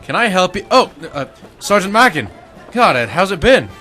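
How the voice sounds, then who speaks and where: Man playing a forceful, edgy ex-Marine crook. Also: sits high, very animated, normal speed, soft background music, exaggerated voice. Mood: uneasy, defensive. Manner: gruff, slangy, defensive. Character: edgy male ex-Marine turned nervous crook, defensive. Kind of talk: detective radio drama acting.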